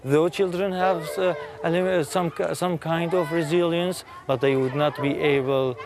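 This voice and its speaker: A man with a fairly high voice answers interview questions about his work. He speaks thoughtfully and haltingly, restarting and repeating words. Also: fairly even intonation. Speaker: aid worker.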